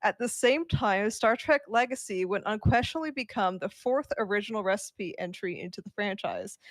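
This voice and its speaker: A woman's voice: medium pitch, expressive, normal speed, dry recording. Woman podcaster, close dry sound. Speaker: podcast co-host. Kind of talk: reading an article aloud and commenting casually.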